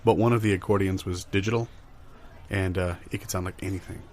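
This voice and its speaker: A man improvising a comic anecdote in a deadpan, matter-of-fact, halting way. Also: deep voice. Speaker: improv comedy performer.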